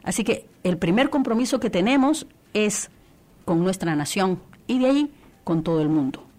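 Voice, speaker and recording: A woman's voice: medium pitch, expressive, normal speed, darkish tone. A woman from Peru, a church radio coordinator, giving an earnest interview testimony with many restarts and fillers.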